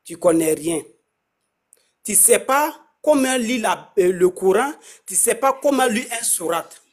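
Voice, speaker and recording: A man explaining his faith in a casual conversation, halting and repeating himself often. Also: high-pitched, animated. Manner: halting, repeats words, many restarts.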